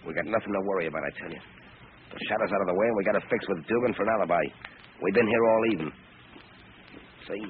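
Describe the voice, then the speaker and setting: An actor playing a bossy, threatening male gangster in an old radio crime drama, gruff and slangy. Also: deep voice, rapid-fire, pauses often, natural voice. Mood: irritated, cocky. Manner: gruff, slangy, barking orders. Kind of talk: 1930s-40s radio crime drama acting. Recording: tinny, noisy old radio recording.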